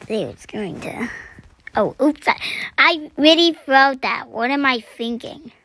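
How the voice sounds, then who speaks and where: A woman's voice: high-pitched, animated, unhurried, pushed and bright. Young woman with a scratchy morning voice. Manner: chatty, self-deprecating, often drops to a whisper. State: scratchy, throat-clearing voice. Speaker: gaming channel host. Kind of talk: casual gameplay commentary.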